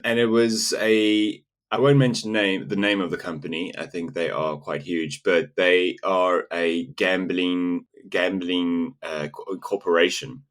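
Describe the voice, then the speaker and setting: Very low-voiced hesitant man from South Africa. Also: steady tone, unhurried, fairly bright tone. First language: not English. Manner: hesitant, many restarts and fillers. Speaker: illustrator and animator guest. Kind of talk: casual interview.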